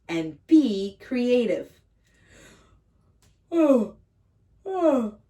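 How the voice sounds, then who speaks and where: A woman reading a picture book aloud to young children as a teacher, speaking to them directly in simple, gentle phrases. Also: fairly high pitch. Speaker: kindergarten read-aloud teacher and host. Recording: slightly distant microphone.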